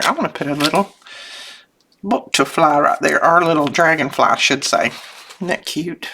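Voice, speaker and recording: An older woman hosting a crafting channel, chatting casually and homely while she works, her voice lowered by sinus trouble. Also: vocal fry, a bit bright, slight background noise. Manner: chatty, homey, self-interrupting, chuckles often. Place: home craft desk.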